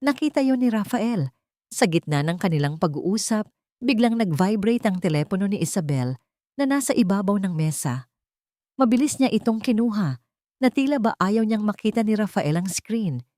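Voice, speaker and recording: A woman's voice: mid-range voice, animated, normal speed, warm tone, no room sound, studio quality. A woman reading a scripted dramatic story as its narrator.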